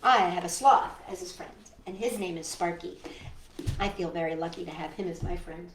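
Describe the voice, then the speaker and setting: A woman hosting a storytime for young children, reading and singing along in an animated, encouraging way and addressing her listeners directly. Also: on the low side, normal speed, roomy sound, slight background noise, poor audio. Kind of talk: read-aloud and sing-along for young children.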